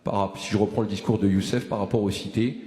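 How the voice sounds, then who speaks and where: A man's voice: lowish voice, steady tone, fast, mellow, in a large hall. A man in his forties giving an impassioned, rousing address to a movement, full of restarts and rhetorical questions. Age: 40s. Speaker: activist speaker.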